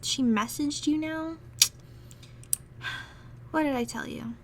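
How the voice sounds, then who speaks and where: A woman's voice: sits high, slow pace. A woman playing a jealous, pouty young gamer girlfriend in a casual, half-improvised roleplay audio. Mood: exasperated. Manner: pouty, grumbling, irritated. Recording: noisy room, clattering computer keyboard. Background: loud keyboard typing and background noise.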